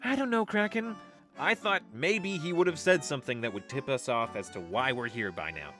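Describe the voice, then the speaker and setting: A man's voice: mid-range voice, expressive, moderate pace, sharp tone, faint music behind. An actor playing a wry, exasperated young man in an audio drama, with a theatrical, sarcastic delivery. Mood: skeptical.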